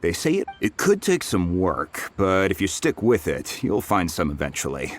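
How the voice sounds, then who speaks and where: An actor voicing a friendly, humble blacksmith in a video game, chatty and self-deprecating. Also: male voice, deep voice, huge pitch swings, moderate pace, full character voice.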